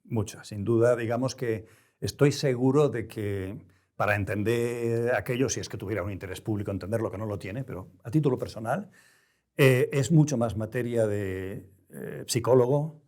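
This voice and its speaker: Interview guest: older male, European Spanish accent, dark relaxed timbre, deliberate, erudite, searching for the exact word. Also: medium pitch. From Spain. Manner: deliberate, halting, with erudite careful word choice. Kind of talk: reflective interview conversation.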